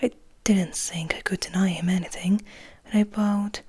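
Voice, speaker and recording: A woman reading a story aloud as soft ASMR, in a breathy, mostly unvoiced whisper with gentle, unhurried delivery. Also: medium pitch, steady tone. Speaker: ASMR host and reader.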